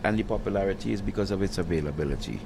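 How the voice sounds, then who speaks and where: Low-voiced man telling a story. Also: rapid-fire, creaky, darkish tone. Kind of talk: casual chat. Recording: noisy background.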